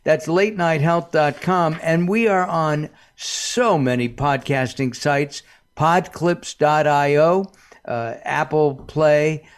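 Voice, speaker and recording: Higher-voiced male radio host. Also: slow, smooth. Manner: chatty, rambling, upbeat. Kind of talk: talk radio hosting.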